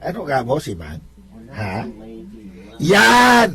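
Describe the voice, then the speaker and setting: A high-pitched, scoffing drunkard. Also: male voice, huge pitch swings, slow, creaky, heavy background noise, full character voice. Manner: mocking, boisterous. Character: scoffing male drunkard, boisterous drinking buddy. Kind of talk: radio drama acting. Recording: produced radio drama with music.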